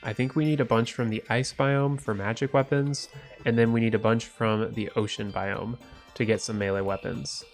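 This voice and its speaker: Stuffy-nosed male gaming host, cheerful let's play commentary, mid pitch, faint music bed. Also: normal speed. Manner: upbeat, excited at loot. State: congested from a cold. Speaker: gaming YouTuber.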